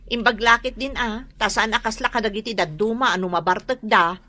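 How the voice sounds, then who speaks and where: High-voiced, exasperated Ilocano wife. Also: female voice, fairly even intonation, brisk, pushed and bright. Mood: worried fretting. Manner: nagging, sighing, emphatic. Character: exasperated, worried wife of a hard-drinking man. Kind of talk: Ilocano radio drama acting.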